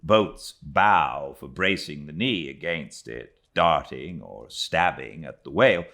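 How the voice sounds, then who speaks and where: British man, low-pitched voice, slow pace, literary audiobook narration, measured dramatic delivery. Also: animated, a bit bright. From Britain.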